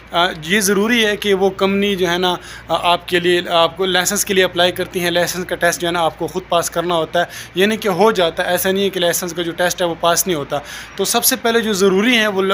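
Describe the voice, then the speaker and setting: A man's voice: high voice, a bit bright. A young man from South Asia explaining things informally to camera as a vlogger, speaking into a phone held at arm's length. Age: young adult. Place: open rooftop outdoors in daylight. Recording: outdoor ambient noise.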